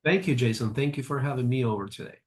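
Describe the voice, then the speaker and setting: Man, fifties, halting, filler-heavy, middle pitch. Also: darkish tone. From Argentina. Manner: halting, with frequent fillers and restarts. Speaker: guest, agency president. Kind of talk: interview answers.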